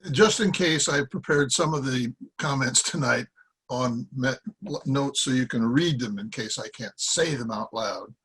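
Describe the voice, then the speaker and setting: Guest speaker: American man, 70s, husky, halting and rambling, informal delivery. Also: medium pitch, a bit bright, poor audio. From Iowa, United States. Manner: rambling, halting, with self-corrections. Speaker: guest speaker, former journalist. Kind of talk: informal talk from notes. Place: small cluttered room with boxes, indoors. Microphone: computer microphone on a video call.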